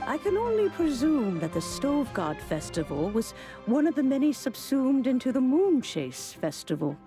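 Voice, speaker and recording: A woman playing a wise, long-lived female mentor in a video game, speaking in a gentle, measured, formal storytelling way. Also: high voice, very animated. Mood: reflective. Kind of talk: video game character acting. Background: music.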